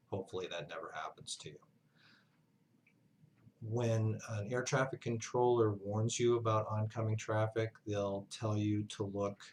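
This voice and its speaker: A man giving an instructional lesson as a flight instructor, speaking in a measured, halting way with pauses mid-sentence. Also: lowish voice, a little hiss or hum. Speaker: flight instructor, university lecturer. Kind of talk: instructional narration, explanatory lesson.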